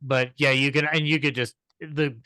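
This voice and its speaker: A man on a podcast panel chatting casually, haltingly repeating words as he searches for the next one. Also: medium pitch, level tone, sharp tone, dry recording. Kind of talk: casual chat about old television.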